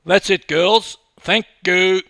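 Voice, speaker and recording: A man as a loud, bossy press photographer. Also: sits high, fairly even intonation, dry recording, clean studio sound, pitched above the performer's own voice, exaggerated voice. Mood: bossy, urgent. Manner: calling out short brisk orders.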